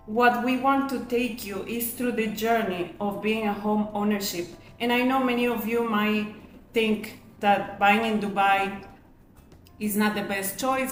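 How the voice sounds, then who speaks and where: Woman presenting a webinar, echoey room. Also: medium pitch. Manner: asks and answers own rhetorical questions. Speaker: webinar host and real estate agent. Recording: echoey room with faint noise.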